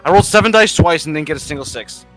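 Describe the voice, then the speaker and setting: A man's voice: a little high, animated, rapid-fire, fairly bright tone, soft background music, rough recording. A man chatting casually as a player in a tabletop roleplaying game, with a wry, grumbling manner.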